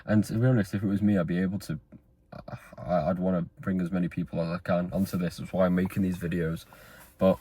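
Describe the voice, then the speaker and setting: A young man in his twenties with a very deep, low voice. He talks to camera in an earnest, rambling way, with fillers and restarts. The tone is a motivational monologue. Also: level tone, fast talker, warm tone, husky. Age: 20s. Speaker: vlogger. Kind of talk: motivational talking-head monologue.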